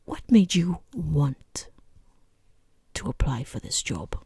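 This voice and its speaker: Woman acting breathy, half-whispering rude boss. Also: deep voice, big pitch swings, slow pace, dark tone, no echo, full character voice. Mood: awkward, disapproving. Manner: dry, sarcastic, hesitant politeness. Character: rude, condescending female boss interviewing a hapless job applicant. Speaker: job interviewer. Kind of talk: soft-spoken ASMR roleplay.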